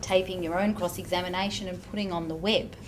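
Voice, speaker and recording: A woman barrister talking in a lively, animated way on a panel. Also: on the low side, quick pace, low-quality recording. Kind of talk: panel discussion. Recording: noticeable background hiss.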